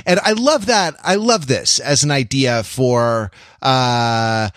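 A man co-hosting a podcast, talking casually and excitably in halting bursts with repeated phrases. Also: medium pitch, no echo, clean studio sound. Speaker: podcast co-host. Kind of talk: casual film discussion.

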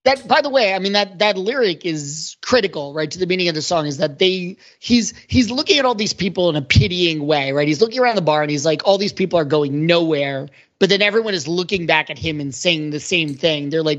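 A man's voice: a little high, a little fast, few pauses, a bit bright. A man co-hosting a podcast, talking casually and analytically about pop culture. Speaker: podcast co-host. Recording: slightly dull lo-fi audio.

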